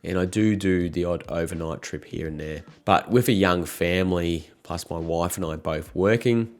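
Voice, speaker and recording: Croaky low-voiced man. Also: moderate pace. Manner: relaxed, chatty, frank. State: croaky from a cold. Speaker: motorcycle vlogger. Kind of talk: casual talk to camera.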